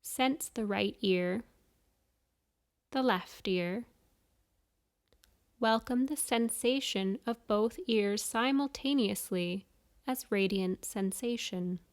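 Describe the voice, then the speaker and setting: Slow, smooth-voiced woman guiding meditation. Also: medium pitch. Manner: soothing, measured instructions with pauses. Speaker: yoga nidra guide. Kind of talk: guided meditation.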